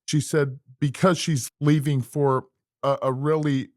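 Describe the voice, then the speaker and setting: Earnest man with halting, restarting speech. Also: a little high, little pitch movement, no room sound, studio quality. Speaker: podcast co-host. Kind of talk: casual podcast chat.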